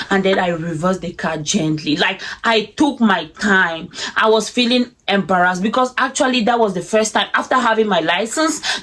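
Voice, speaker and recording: A young Cameroonian woman telling a story in a casual, animated way, laughing often and acting out what people said. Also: medium pitch. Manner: animated, laughs often, acts out quoted speech.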